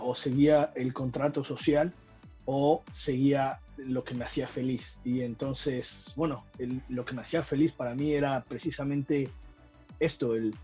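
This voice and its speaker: A man's voice: medium pitch, mellow. A man from Mexico with a Mexican accent, talking casually as an interview guest, with many restarts and fillers. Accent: Mexican Spanish. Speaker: guest, traveling football fan.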